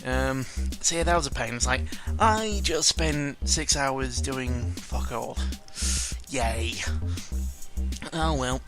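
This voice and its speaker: Self-deprecating man rambling over loud music. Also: medium pitch. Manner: self-deprecating, sarcastic, sweary; halting, often stumbling over words. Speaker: YouTuber recording an audio log. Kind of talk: casual rambling chat. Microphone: close microphone with pop filter. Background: background music.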